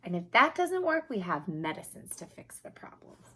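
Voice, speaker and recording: A woman's voice: mid-range voice, big pitch swings, normal speed, sharp tone, breathy edge, exaggerated voice. A woman voicing a kindly children's doctor in a picture book, explaining things patiently and encouragingly. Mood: reassuring.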